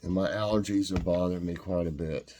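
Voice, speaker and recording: A man's voice: deep voice, fairly even intonation, fairly quick, warm tone. A man with a stuffed-up, congested voice, chatting casually and rambling with lots of fillers as he hosts a taste test. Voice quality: stuffed-up nasal voice. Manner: rambling, homey, full of fillers and restarts. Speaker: vlog host.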